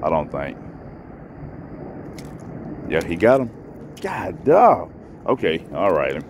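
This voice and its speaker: A man's voice: sits low, very animated, slow. A man talking casually to viewers while fishing from a pond bank, filmed on a head-mounted camera. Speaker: fishing vlogger. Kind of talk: casual outdoor fishing commentary. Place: grassy pond bank outdoors. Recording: faint outdoor background noise.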